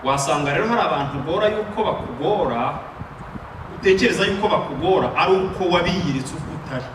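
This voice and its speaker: Fast, high-voiced Rwandan preacher. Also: male voice, lively intonation, recorded from a distance. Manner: emphatic, call-and-response preaching. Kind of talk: sermon with interpreter. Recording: echoey room, picked up from afar, noisy.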